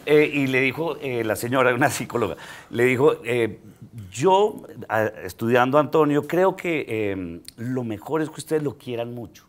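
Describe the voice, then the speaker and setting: A man's voice: mid-range voice, expressive, moderate pace. Middle-aged man telling a story, hesitant. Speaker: guest comedian. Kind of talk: casual talk show chat. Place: studio set.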